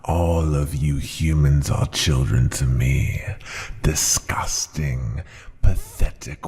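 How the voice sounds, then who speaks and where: A man's voice: a little mellow, exaggerated voice. A man voicing an ancient, tyrannical dragon ruler who scorns humans, speaking in a pompous, menacing growl for an ASMR roleplay. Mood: disdainful. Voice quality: deep gravelly growl, breathy at times. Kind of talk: ASMR roleplay, slow theatrical monologue.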